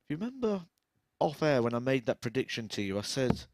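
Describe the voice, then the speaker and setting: A man's voice: mid-range voice, big pitch swings, fast talker, no room sound. A man co-commentating on live darts, giving enthusiastic, opinionated analysis and predictions. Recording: noisy live commentary feed.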